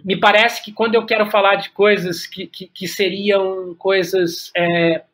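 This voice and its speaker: A Brazilian man speaking reflectively as an interview guest, often halting and repeating words as he thinks aloud. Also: high-pitched, level tone, fairly bright tone. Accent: Brazilian Portuguese. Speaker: podcast guest, playwright and director.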